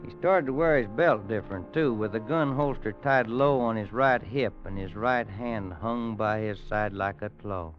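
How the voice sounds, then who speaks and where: A man's voice: on the low side, normal speed, hardly any pauses, natural voice. An actor playing a weary, worried Western man telling a grim tale in a folksy American radio drama style. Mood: grave, haunted. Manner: folksy, grave dramatic storytelling. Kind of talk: 1950s radio drama acting. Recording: muffled old radio recording with music.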